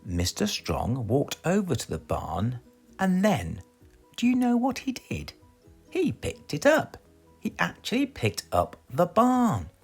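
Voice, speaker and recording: A man's voice: sits high, huge pitch swings, slow pace, gravelly, husky, faint music behind. A man with a British accent reads a children's storybook aloud dramatically, putting questions to his young listeners. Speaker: storybook reader.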